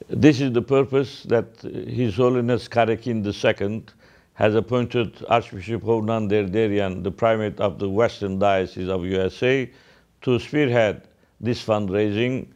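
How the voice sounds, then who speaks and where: A man gives a formal, solemn religious address as an archbishop, phrasing deliberately in short groups. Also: mid-range voice, a little mellow, lo-fi sound. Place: indoor office, seated at a desk.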